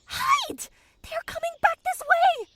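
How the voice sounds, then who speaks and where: Very high-pitched frantic heroine. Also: female voice, sing-song, takes it slowly, heavy background noise, heavily acted. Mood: frightened, desperate. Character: high-strung, excitable woman in fantasy adventure. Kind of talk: audio drama acting. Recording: faint music under the voice.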